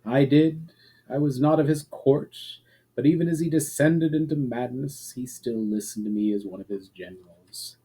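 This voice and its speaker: A man as weary elven war veteran, mellow, mid-pitched, solemn, measured, fantasy storybook. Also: husky, lightly acted, poor audio. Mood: quiet sadness. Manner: slow, measured, solemn, quiet. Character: weary old elven general, grieving and sombre.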